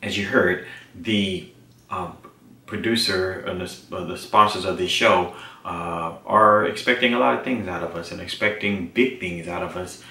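An American man in his forties speaking informally to camera in a video diary, halting as he searches for words. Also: low voice, pushed and bright, recorded from a distance. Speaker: actor presenting a behind-the-scenes series. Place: small indoor office. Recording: roomy, off-mic, faint music behind.

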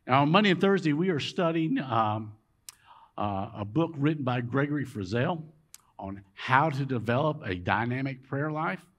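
A man, preaching a sermon in a large church sanctuary and swinging between loud emphasis and low, quiet drops. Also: lively intonation, normal speed. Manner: emphatic preaching, rising and dropping dramatically. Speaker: pastor. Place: large church sanctuary with rows of empty chairs.

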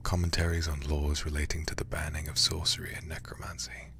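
A man reading a horror game aloud in ASMR style, hushed, breathy and deliberate, close to the microphone. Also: extremely low pitch, completely flat delivery, slight background noise. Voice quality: breathy, whispery. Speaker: livestreamer playing a horror game.

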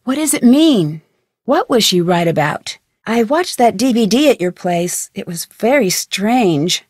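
A woman's voice: mid-range voice, lively intonation, measured pace, a little mellow, no echo, clean studio sound. A woman playing an inquisitive, friendly young female detective in a video game, speaking in an earnest, conversational way. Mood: earnest, curious. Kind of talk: scripted video game dialogue.

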